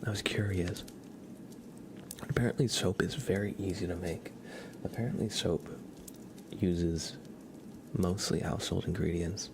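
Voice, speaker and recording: Male ASMR streamer, low and gravelly, soft half-whispered chat, halting delivery, noticeable background noise. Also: steady tone. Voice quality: soft, breathy, often whispered. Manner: slow, halting, rambling. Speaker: ASMR livestream host. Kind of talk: ASMR, casual chat with viewers.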